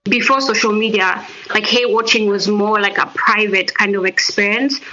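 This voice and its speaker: A woman explains things in a relaxed, chatty way, her voice bright and a little sharp. The sound is somewhat dull and lo-fi. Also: mid-range voice, normal speed. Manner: casual, explanatory, with frequent "like". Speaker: sports psychologist. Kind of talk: interview answer.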